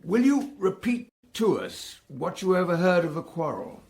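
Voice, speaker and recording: A courteous but insistent English coroner in a radio drama. The voice is a little high and noticeably creaky. He puts his questions formally and precisely. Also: big pitch swings, normal speed, darkish tone, heavily acted.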